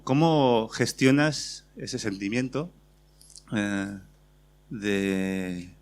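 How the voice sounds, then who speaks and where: A man in the audience asking a panel a question, halting and unsure. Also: mid-range voice, smooth. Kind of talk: question from the floor.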